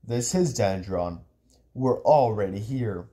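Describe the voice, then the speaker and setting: A man voicing a calm, strong uncle in an audiobook, speaking slowly, firmly and patiently. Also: on the low side, voice pushed down. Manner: slow, firm, patient. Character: calm, firm, strong adult uncle and mentor.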